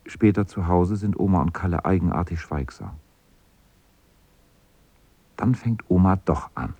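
A man's voice: deep voice, measured pace, darkish tone, husky, heavily acted. A man narrating a children's radio play as a composed storyteller, reading from a script.

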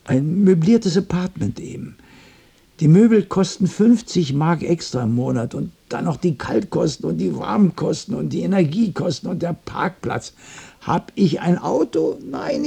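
A lonely, touchy man rambles nervously through a radio play. His voice is breathy and rough, slightly high and soft-edged, and he stumbles and repeats himself as if lost. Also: animated, full character voice. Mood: rambling, distracted. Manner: halting, repetitive, nervously rambling. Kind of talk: German radio play acting.

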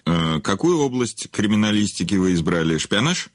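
A celebrated novelist in an audio drama, haughty and brusque. His voice is low and rough-edged, recorded close and dry. He speaks curtly and imperiously, pausing on hums as if granting an audience. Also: sing-song, no echo. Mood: condescending. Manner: imperious, curt, halting with hums. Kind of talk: audio play acting.

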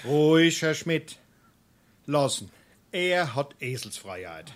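A man's voice: fairly high pitch, very animated, takes it slowly. A man playing a canny small-town burgher in a Darmstadt dialect comedy, dry and needling. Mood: dry mockery. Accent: Darmstadt Hessian. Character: canny, blunt male townsman, a family friend. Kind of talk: dialect stage comedy acting.

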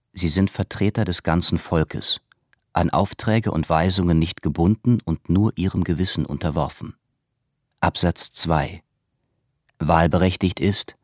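A man reading scripted documentary narration, announcing dates, names and legal articles in a measured, formal way. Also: low-pitched, dry recording, studio quality.

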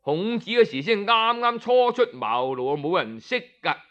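A man tells a tale in Cantonese-accented storyteller style, with a fairly high voice that swings widely in pitch. He takes his time, explaining things conversationally and stretching the particles that close his phrases. The audio is slightly muffled and lo-fi. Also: big pitch swings, moderate pace, a bit bright. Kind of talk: Cantonese oral storytelling, scripted yet colloquial narration.

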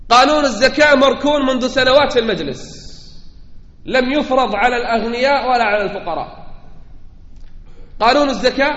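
A man delivering a fervent Islamic sermon in a declamatory, rhetorical manner. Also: very high-pitched. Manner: fervent oratory, swinging from declamatory calls to quiet asides. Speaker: preacher giving a Friday sermon. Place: large reverberant indoor hall. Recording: echoey hall, long reverb, slightly dull sound.

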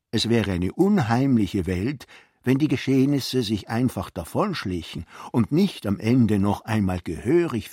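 A man's voice: fairly low pitch, darkish tone, husky, dry recording, clean studio sound. A man delivering literary, essay-like narration as a narrator in a radio play.

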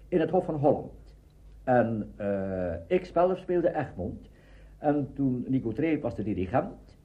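An older man telling anecdotes as an interviewee, animated and conversational. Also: mid-range voice. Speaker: interviewee, veteran radio actor. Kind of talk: anecdotal reminiscence. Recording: dull archive interview recording.

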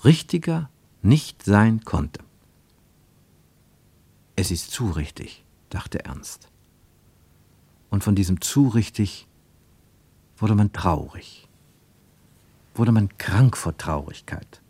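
A man with a low voice narrates a radio travelogue in a measured, wryly ironic tone. The studio sound is dry and close, with no room around him. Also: sing-song, warm tone, breathy edge, no room sound. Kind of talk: scripted literary narration; 1950s radio play.

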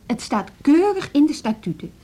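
An actress playing an assertive, brisk woman on a music school's leadership team in a radio drama, with a Netherlands Dutch accent. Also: high voice, big pitch swings, dark tone. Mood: firm, insistent.

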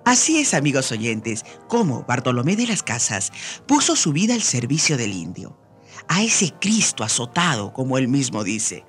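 A man's voice: medium pitch, very animated, moderate pace, fairly bright tone, faint music behind. A man co-hosting a radio program, presenting scripted remarks and addressing listeners emphatically.

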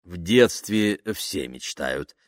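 A male narrator acts a blustering, self-important businessman in an audiobook. He is patronizing and suspicious, quick to swing from condescension to outrage, with a very wide pitch range and a dry studio sound. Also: very animated, no echo, exaggerated voice. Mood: soothing condescension. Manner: smooth condescension breaking into outraged yelling.

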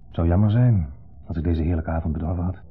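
A man's voice: low-pitched, quick pace, husky. An actor in a 1970s radio play voicing an insecure, needling man with a Netherlands Dutch accent, who speaks theatrically and dwells pointedly on his words. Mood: wistful, restrained. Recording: muffled, band-limited 1970s radio recording.

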